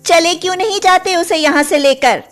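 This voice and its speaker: A woman plays a haughty sister-in-law in a moral-story cartoon. The voice is high and theatrical, with emphatic scolding. Faint music runs behind it. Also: moderate pace, fairly bright tone. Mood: angry.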